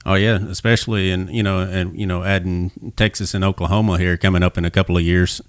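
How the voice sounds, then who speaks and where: A man hosting a podcast, chatting casually about sports in a conversational, somewhat halting way. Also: low voice, fairly even intonation, no room sound, clean studio sound. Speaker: podcast host.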